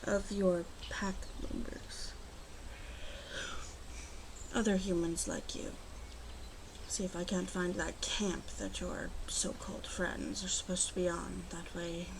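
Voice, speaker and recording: Noticeable ambient noise sits behind a female werewolf, acted by a woman in an ASMR roleplay. She speaks slowly and softly with a gravelly creak, often dipping into whispers. Her manner is protective and gruffly bossy. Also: on the low side, level tone. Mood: calm, matter-of-fact. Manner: slow, murmuring, often drops into whispers. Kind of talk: ASMR roleplay, close and soft-spoken. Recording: clearly audible ambient background noise.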